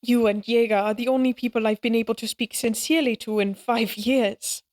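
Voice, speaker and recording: A woman playing a lonely, gentle, sincere character in an audio roleplay, speaking hesitantly and earnestly, with wistful fondness. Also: fairly high pitch, fairly even intonation, a little fast, dry recording, clean studio sound, natural voice. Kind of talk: dramatic audio roleplay acting.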